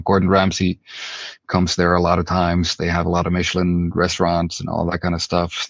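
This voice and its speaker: Musician guest, very low flat gravelly voice, dry muffled sound. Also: male voice, no room sound. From Norway. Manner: rambling, repeats words while thinking. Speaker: band member, guest. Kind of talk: casual interview answers.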